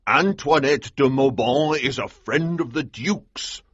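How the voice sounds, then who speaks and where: Guy putting on a bossy old army colonel, raised and husky, slow. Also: medium pitch, no room sound, muffled recording, pitched above the performer's own voice. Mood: warning. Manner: commanding, blunt. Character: gruff stubborn older military colonel.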